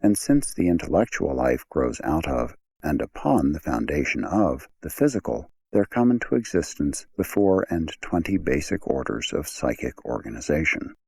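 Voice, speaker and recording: Very deep, gravelly male narrator. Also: big pitch swings, darkish tone. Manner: measured, formal reading. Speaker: audiobook narrator. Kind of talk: scripted audiobook narration.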